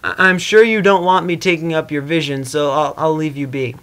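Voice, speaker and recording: A man puts on a little female pony for a storybook reading. She is kindhearted and emotional, speaking earnestly in a raised, fairly high voice. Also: steady tone, brisk, fairly bright tone, clear, resonant tone, natural voice. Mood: apologetic.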